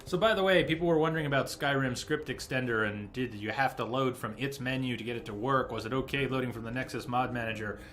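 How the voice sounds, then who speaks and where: A man's voice: mid-range voice, steady tone, fast, hardly any pauses, fairly bright tone, a little hiss or hum. A man giving casual, chatty live commentary as a game streamer, explaining things and reading menu text aloud.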